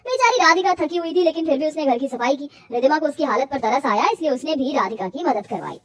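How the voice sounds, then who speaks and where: High-pitched woman narrating a story. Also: lively intonation, fast. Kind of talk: scripted cartoon moral-story narration.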